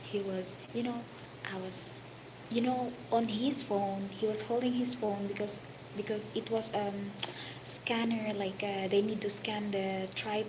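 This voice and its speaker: A woman from the Philippines with a Filipino accent, telling a story about her work in a halting, casual way, full of fillers and sometimes dropping to a whisper. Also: mid-range voice, steady tone. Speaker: hospitality worker telling a story. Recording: noisy background.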